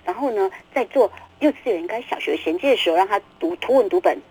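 A woman's voice: medium pitch, expressive, quick pace, a little mellow, a little hiss or hum, poor audio. A middle-aged woman with a Taiwanese Mandarin accent, explaining things emphatically as the guest author in a radio interview, repeating words and acting out questions. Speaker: guest, children's book author.

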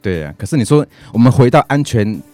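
A man's voice: mid-range voice, very animated, brisk, warm tone, soft background music. A man with a Taiwanese Mandarin accent co-hosting a casual talk show, often repeating phrases as he thinks aloud. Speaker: radio talk show co-host. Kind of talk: casual conversational discussion.

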